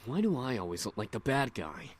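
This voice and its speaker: A man's voice: mid-range voice, sing-song, fairly quick, lightly acted. A man voicing a brash, impulsive young male incubus, blunt and grudging, in a visual novel. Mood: grudging, contrite. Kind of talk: visual novel character acting.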